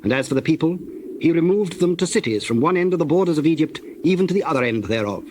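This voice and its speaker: A man narrating scripture from a script in a measured, solemn storytelling manner. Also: fairly high pitch, animated, noisy background.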